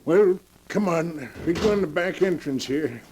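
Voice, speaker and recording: A man's voice: a little high, expressive, a little slow, darkish tone. An actor playing a folksy, obliging but uneasy village delivery man with hesitant rustic speech in a 1940s radio drama. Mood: folksy, matter-of-fact. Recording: faintly noisy old 1940s radio recording.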